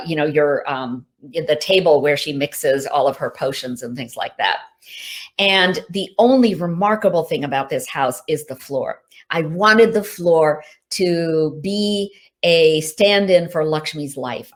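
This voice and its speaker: Female author, Hindi native, low register, chatty Q&A over a video call, easy laughs, lots of fillers. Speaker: author answering reader questions. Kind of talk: casual virtual Q&A. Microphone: video call mic.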